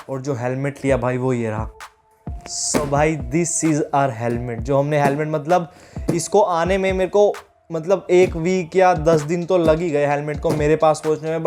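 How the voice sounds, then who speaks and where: A man's voice: a little high, a little mellow. A man chatting casually to viewers as a motovlogger, chummy and slangy, mixing in English words. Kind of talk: casual vlog chat to viewers. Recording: music playing behind the voice.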